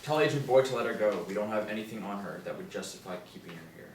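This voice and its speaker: Man reading as a low, commanding FBI boss. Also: fast, lightly acted. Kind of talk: table read of a TV pilot script. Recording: echoey room, distant mic, faint noise.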